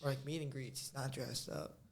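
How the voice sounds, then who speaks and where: A young man co-hosting a podcast, explaining his views in a halting way with lots of "like" and "I mean". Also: medium pitch, vocal fry. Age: young adult. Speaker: podcast co-host. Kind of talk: casual chat.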